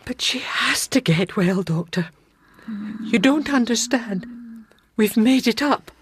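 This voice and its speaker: A proud, devoted older Scottish woman in a radio drama. Her husky, fairly high voice swings up and down emotionally, fretful and emphatic, at an unhurried pace. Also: animated, full character voice. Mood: distraught, pleading.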